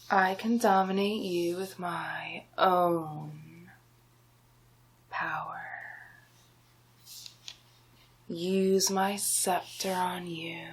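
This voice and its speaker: Woman playing a breathy, slow sorcerer villain. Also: medium pitch, exaggerated voice. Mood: scornful, gloating. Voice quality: breathy, often whispered. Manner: slow, drawn-out, menacing, hypnotic. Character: scheming, gloating male sorcerer villain, silkily domineering. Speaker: ASMR roleplay performer. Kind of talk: ASMR villain roleplay, slow whispering and soft speech.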